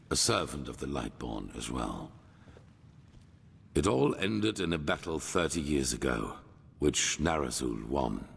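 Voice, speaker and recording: An actor playing a grave old grandmaster of a holy order in a fantasy game, speaking in a solemn, formal, measured storytelling manner. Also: male voice, a bass voice, gravelly, a little hiss or hum, heavily acted. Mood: grave, reflective. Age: older. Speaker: video game character. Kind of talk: dramatic fantasy game dialogue.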